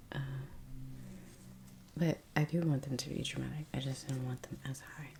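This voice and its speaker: A woman talking in hushed, mostly whispered ASMR style while painting, unhurried with long pauses. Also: rumbling low, creaky. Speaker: ASMR artist and video host. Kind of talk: soft-spoken ASMR chat while painting. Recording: steady heater hum in background. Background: heater hum, soft brush sounds.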